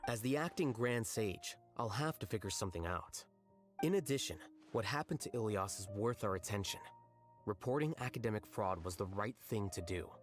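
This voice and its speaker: A man's voice: fairly low pitch, lively intonation, moderate pace, faint music behind, natural voice. An actor voicing a detached, blunt young male scholar and official in a video game, speaking in a dry, matter-of-fact way.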